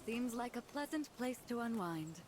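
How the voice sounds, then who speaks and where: A woman's voice: fairly high pitch, expressive, normal speed, noisy background, lightly acted. A woman voicing a caring, composed and protective companion in a video game, with music behind her. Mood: gentle concern. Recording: game audio with music underneath.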